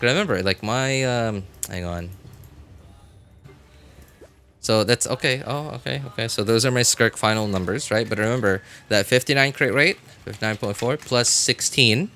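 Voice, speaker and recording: A man's voice: mid-range voice, sharp tone. A man livestreaming a game, chatting casually with viewers and thinking aloud in a rambling way. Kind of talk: casual gaming stream chat with viewers.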